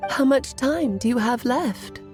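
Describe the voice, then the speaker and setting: A woman playing a cheerful, theatrical witch in a video game, with playful, affectionate, drawn-out phrasing. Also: on the high side, expressive, a little mellow, faint music behind. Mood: sorrowful.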